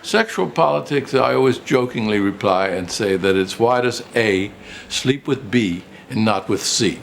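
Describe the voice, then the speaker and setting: A man's voice: lowish voice, measured pace, creaky. An older man, a retired professor and writer, reminiscing casually in an interview, rambling and repeating phrases for emphasis.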